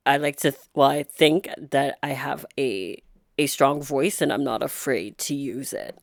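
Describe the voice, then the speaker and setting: A woman being interviewed as a guest, a nurse, speaking in a soft-spoken, thoughtful way with frequent pauses and fillers. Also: very deep voice, creaky, no room sound. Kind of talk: reflective interview conversation.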